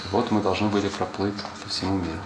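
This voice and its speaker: A man with a fairly low voice, Portuguese by birth, speaks to a class of children in an echoey classroom with plenty of background noise. He explains patiently and keeps asking them questions back. It is a relaxed, unscripted chat. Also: quick pace. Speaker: former student visiting a class. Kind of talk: casual talk with schoolchildren. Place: school classroom.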